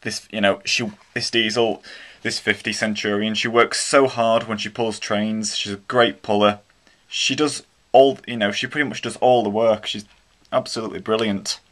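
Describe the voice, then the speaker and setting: A man's voice: fairly low pitch, steady tone, moderate pace, fairly bright tone. A man with a British accent chatting casually and eagerly while unboxing a model locomotive at home, rambling with many restarts. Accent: British English. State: slightly croaky throat. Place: indoors at home, carpeted floor.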